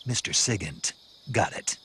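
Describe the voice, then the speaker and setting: A man playing a terse, skeptical special-forces soldier on a radio call in a video game, with a gruff, gravelly delivery. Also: fairly low pitch, expressive, unhurried, pushed and bright, a little hiss or hum. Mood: matter-of-fact. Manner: terse, dry. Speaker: field agent on a radio call. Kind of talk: scripted video game voice acting.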